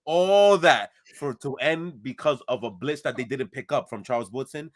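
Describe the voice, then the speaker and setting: A man in his late twenties in casual sports chat, animated and emphatic. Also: medium pitch, normal speed. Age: 20s. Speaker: podcast co-host.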